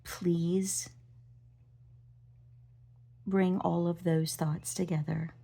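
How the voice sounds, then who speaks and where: A woman leading a guided meditation, speaking gently and deliberately in short phrases with pauses between them. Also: on the low side, level tone, vocal fry. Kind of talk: guided body scan meditation.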